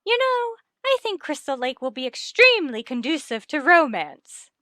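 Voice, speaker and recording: An actress playing a chatty, gushing, meddling matchmaker wife in a vintage radio sitcom. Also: female voice, sits high, very animated, normal speed, bright, forceful tone, no room sound, clean studio sound, exaggerated voice. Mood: scheming, eager. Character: chatty, meddling married matron, eager matchmaker.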